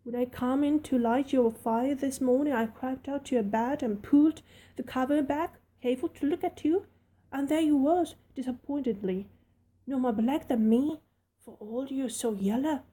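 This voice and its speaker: A woman's voice: high voice, moderate pace, dark tone, breathy edge, pitched above the performer's own voice. A woman voicing a plain-spoken young Yorkshire housemaid with broad dialect, cheerful and frank. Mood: blunt.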